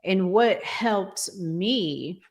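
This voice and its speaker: Slow, emphatic woman talk host. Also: medium pitch, expressive, a little mellow. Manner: emphatic, repeats phrases for emphasis. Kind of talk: conversational relationship advice talk.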